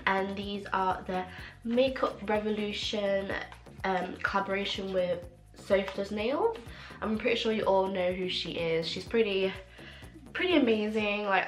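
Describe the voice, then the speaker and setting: A young woman reviewing makeup in a casual vlog, excitable and full of fillers, her voice a little rough from a cold and sore throat. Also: medium pitch. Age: young adult. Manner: gushing, excitable, many fillers. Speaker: beauty vlogger. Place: small room at home, indoors. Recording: echoey room with faint music.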